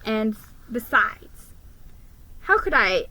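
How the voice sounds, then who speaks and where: Slow, bright-voiced woman reading a story. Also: fairly high pitch, dry recording, poor audio. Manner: deliberate, expressive, with stumbles over words. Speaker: storybook reader. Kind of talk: dramatic children's story reading.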